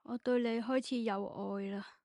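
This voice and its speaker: Radio drama wife female actor: fairly high voice, loving but hurt, searching, dry sound. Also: fairly even intonation, normal speed, mellow, no room sound, natural voice. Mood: hurt, doubting. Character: hurt, anxious, loving wife questioning her husband. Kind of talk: Cantonese radio drama acting.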